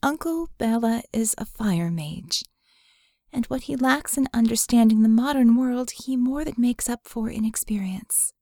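A woman's voice: a little high, a little slow, mellow, dry recording, studio quality. A woman voicing a guarded, sardonic young female mage in an audiobook, telling her story with wry candor. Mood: wry, bitter confession. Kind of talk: audiobook character dialogue.

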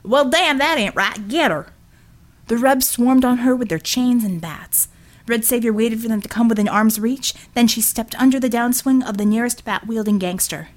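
A woman's voice: on the high side, moderate pace, darkish tone. A woman reading a scripted action story as a dramatic audiobook narrator.

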